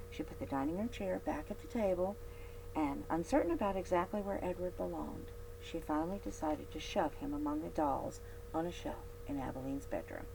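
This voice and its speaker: A woman with a low voice reads a children's story aloud for a library. Her phrasing is expressive, with emphatic stresses on key words. A clear, steady hum and noise sit behind her. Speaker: library read-aloud host. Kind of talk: scripted storybook narration.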